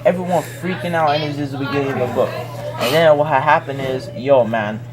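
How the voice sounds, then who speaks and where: A man's voice: a little high, brisk, crowd noise. A young man retelling a picture book as a joke, in slangy, rambling, casual talk.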